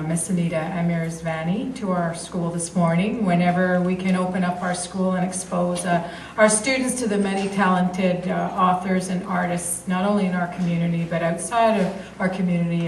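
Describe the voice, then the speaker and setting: A woman school principal giving a formal welcome speech in a school library, pausing often with fillers. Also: fairly low pitch, fairly even intonation, slight background noise. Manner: hesitant, with frequent fillers. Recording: distant, roomy school library.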